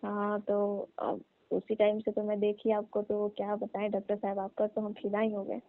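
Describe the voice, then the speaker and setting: A woman's voice: mid-range voice, monotone, moderate pace, no echo, soft background music, close to an everyday voice. A woman playing a lovesick, flirtatious village woman with a Bihari accent, coaxing and coy in a comic phone call. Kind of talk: comic prank-style phone call, conversational. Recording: muffled narrowband phone call.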